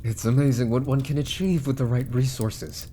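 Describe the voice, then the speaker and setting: A man playing an arrogant, scheming immortal mage minister in an ASMR roleplay, haughty and deliberate, trailing into hushed asides. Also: mid-range voice, fairly even intonation, slight background noise, natural voice. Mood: smug, gloating. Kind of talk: ASMR roleplay villain monologue.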